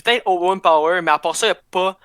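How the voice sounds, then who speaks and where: Bright, smooth young Québécois man. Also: sits high, dry recording, clean studio sound. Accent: Québécois French. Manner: quick, joking, trailing off with fillers. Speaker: podcast co-host. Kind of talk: casual sports chat.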